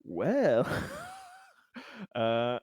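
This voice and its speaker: Halting, animated man in a dry room. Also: a little high, husky, no echo. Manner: halting, repeats words while searching for phrasing. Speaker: player in a tabletop roleplaying game. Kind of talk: casual game chat.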